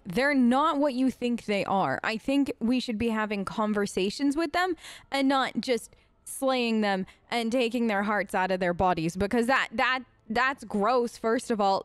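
High-voiced chatty woman gamer, dry sound. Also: dry recording. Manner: chatty, informal, improvising in character. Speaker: let's play host. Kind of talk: casual role-play game commentary.

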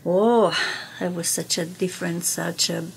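An older woman with a Slovenian accent, a native Slovenian speaker, reminiscing in an interview in a small radio studio, with halting phrasing and many fillers. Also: lowish voice, fairly even intonation, gravelly, a little hiss or hum, low-quality recording. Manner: slow, halting speech with many fillers and restarts, gestures with hands. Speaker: interviewee, community radio broadcaster.

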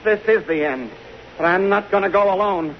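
An actor playing a bitter, lovesick murderer, high-pitched and desperate, in melodramatic old radio style. The sound is muffled and slightly noisy. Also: male voice, moderate pace, close to an everyday voice. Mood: bitter, despairing. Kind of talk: tense, melodramatic 1950s radio drama acting.

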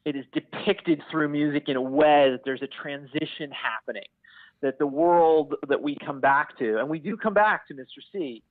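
A man as a podcast guest on a thin call line, giving thoughtful, measured analysis with hesitations. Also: on the high side, no echo, phone-quality sound. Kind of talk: analytical discussion.

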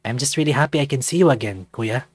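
A man voicing an affectionate, excitable young male otter in a visual novel. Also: mid-range voice, fast talker, breathy edge, dry recording, natural voice. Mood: tender.

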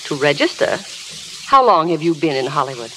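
An actress playing a businesslike, world-weary casting clerk, matter-of-fact and discouraging, in 1930s radio style. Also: female voice, low-pitched, sing-song, a little fast, pushed and bright, heavily acted. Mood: matter-of-fact, sobering. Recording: noisy, crackly old radio recording.

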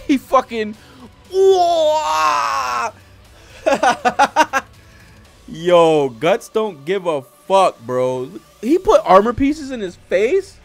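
Young american guy streamer reacting to stuff, super animated pitch, swears a lot, bit of music behind. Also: extremely slow, vocal fry. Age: young adult. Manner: animated, slangy, profane reactions. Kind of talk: casual live read-along commentary. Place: home studio room with streaming setup. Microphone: desk microphone close by.

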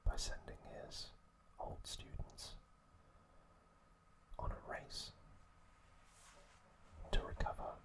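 A man narrating trivia in a hushed ASMR style, unhurried and full of pauses. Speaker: host.

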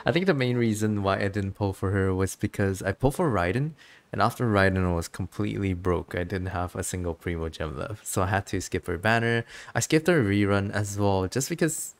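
Low-pitched, chatty game streamer. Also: lively intonation, moderate pace. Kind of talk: casual game stream commentary, reading dialogue aloud.

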